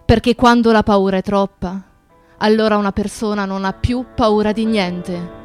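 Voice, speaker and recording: A woman delivering a dramatic scripted monologue, solemn and emphatic, with deliberate repetition. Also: medium pitch, fairly even intonation, a little mellow, soft background music. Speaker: actress and narrator.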